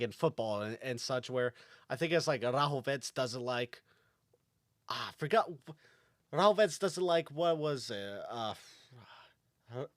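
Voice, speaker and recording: A man's voice: fairly high pitch, fairly bright tone, no room sound, studio quality. A young man from Kosovo chatting casually on a podcast, rambling with lots of fillers and restarts. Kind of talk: casual chat.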